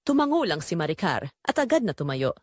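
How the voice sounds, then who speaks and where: Female Filipino narrator for first-person audio drama; lively, varied intonation, very dry close sound. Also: fairly low pitch, fast, dry recording.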